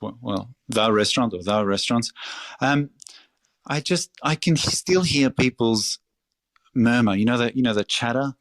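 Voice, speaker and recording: A middle-aged Australian restaurateur talking in a casual interview, passionate and emphatic, swearing freely. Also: male voice, mid-range voice, unhurried, fairly bright tone, no room sound. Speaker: restaurateur guest.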